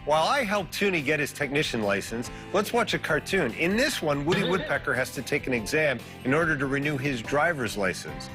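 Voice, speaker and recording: A man's voice: fairly high pitch, very animated, brisk, hardly any pauses, music in the background. A man playing an earnest, patient hobbyist host of a TV cartoon show, explaining things enthusiastically in scripted comedy. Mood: enthusiastic.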